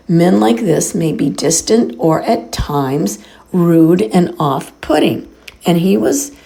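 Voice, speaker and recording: Raspy-voiced woman podcaster, hoarse. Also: on the low side, mellow, low-quality recording. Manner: alternates reading a list with rambling asides. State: hoarse from illness. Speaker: podcast host. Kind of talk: reading and personal commentary.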